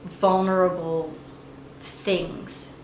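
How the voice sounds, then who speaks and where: A middle-aged woman telling family stories in a chatty, animated way as a regular on a family channel. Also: lowish voice, extremely slow. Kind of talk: casual storytime chat. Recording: echoey room with faint noise.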